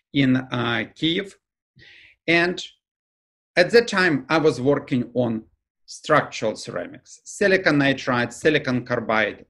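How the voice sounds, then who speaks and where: A middle-aged man from Ukraine with a Ukrainian accent, giving a remote academic lecture over slides on a webcam, fluent but dropping articles and pausing with "uh". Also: mid-range voice, a bit bright. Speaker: professor and lecturer. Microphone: video call webcam.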